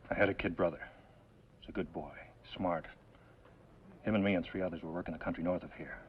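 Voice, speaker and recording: A man's voice: low voice, steady tone, a little fast, close to an everyday voice. An actor playing a bitter, hot-headed outlaw gunman in a 1950s television western, with impatient, tough, slangy talk. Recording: dull, hissy old television soundtrack.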